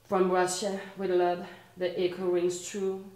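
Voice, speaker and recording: A Danish woman with a Danish accent reciting her own poetry at a live reading, her lines slow and rhythmic, sinking quieter at each ending. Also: lowish voice, monotone, roomy sound. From Denmark. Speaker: poet performing at a live reading. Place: art and culture community venue.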